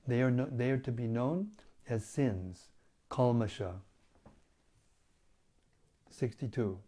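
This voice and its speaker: A man with a hoarse, failing voice reading scripture and reflecting on it as a spiritual teacher, in measured devotional tones. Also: medium pitch, mellow. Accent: British English. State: losing his voice, hoarse.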